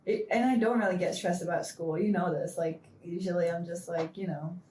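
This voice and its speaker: A woman's voice: lowish voice, fairly quick, dark tone, echoey room, a little hiss or hum, poor audio. A young woman from Switzerland, a student guest, talking in a hesitant way with frequent laughs. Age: young adult. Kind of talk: casual chat.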